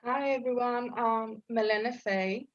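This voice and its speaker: A woman's voice: fairly high pitch, slow pace. A woman from Greece with a Greek accent, talking casually as a podcast participant. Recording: dull sound with music behind. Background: clear music.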